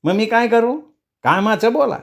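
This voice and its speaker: A man's voice: sits high, expressive, normal speed, vocal fry, voice pushed up. A man voicing a cranky, nasal, half-deaf old writer who is brusque and pompous in a comic story. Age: older. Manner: brusque, demanding people speak up.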